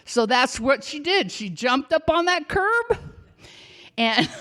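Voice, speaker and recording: A woman with a loud, projecting voice telling a personal story on a theater stage, animated and conversational. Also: high-pitched, takes it slowly. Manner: animated, conversational, acts out shouting and quotes.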